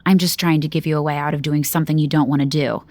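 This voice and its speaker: A woman's voice: sits low, steady tone, fast talker, darkish tone, no room sound. A woman playing a spoiled, wilful young noblewoman in a fantasy audio drama, with a teasing, imperious delivery. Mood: coaxing. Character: spoiled, haughty, wilful young noblewoman pitching grand dreams.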